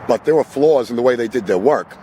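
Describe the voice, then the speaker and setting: A man in his fifties with a hoarse, cold-roughened voice, rambling casually to his camera outside by a road in the early morning. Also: medium pitch, a little fast, dark tone, a little hiss or hum, poor audio. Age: 50s. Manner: rambling, conversational, talks to the camera. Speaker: vlogger. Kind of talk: casual vlog monologue. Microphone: camera on a magnetic tripod.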